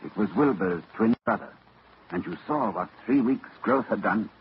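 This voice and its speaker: An actor playing a grave, urgent scholar in a 1940s radio drama, lecturing and tense. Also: male voice, on the low side, normal speed, close to an everyday voice. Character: older male scholar facing a monster. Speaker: Doctor Armitage. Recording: dull old radio recording with hiss.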